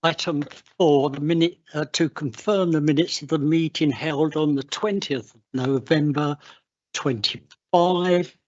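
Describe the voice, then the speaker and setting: English man chairing a council meeting, slow halting pace, muffled lo-fi sound. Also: on the high side, darkish tone. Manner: slow, halting, with many uhs. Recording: dull lo-fi council livestream audio.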